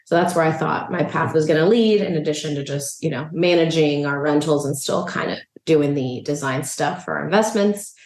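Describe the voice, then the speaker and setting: Low-voiced chatty woman from El Salvador. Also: moderate pace, few pauses, reverberant. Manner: relaxed, chatty, frequent "um" and "you know". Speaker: real estate agent and investor. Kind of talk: casual interview chat. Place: a rental apartment.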